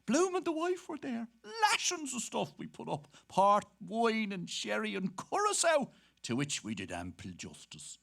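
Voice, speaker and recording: A man's voice: high voice, huge pitch swings, measured pace, gravelly, fairly bright tone, full character voice. An actor with an Irish accent playing a jovial, bawdy Dublin man-about-town, chuckling through a theatrical stage reading. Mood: gleeful, boisterous. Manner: gleeful, chuckling, theatrical storytelling. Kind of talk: animated dramatic reading on stage.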